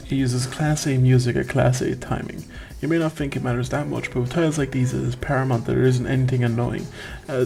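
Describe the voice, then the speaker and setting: A man's voice: medium pitch, darkish tone. Wry male game reviewer over music. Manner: wry, tongue-in-cheek. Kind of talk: scripted review narration.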